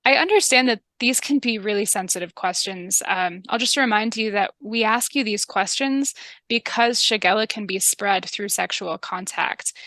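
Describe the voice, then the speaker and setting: A woman narrating a training video and playing a calm, reassuring public health interviewer. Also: medium pitch, no room sound.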